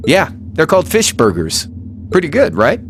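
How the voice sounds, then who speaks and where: A man's voice: a little high, wildly swooping pitch, moderate pace, vocal fry, slight background noise, exaggerated voice. An actor playing a cocky, laid-back young male rebel in a video game, teasing and flippant in a casual way. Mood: easygoing. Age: young adult.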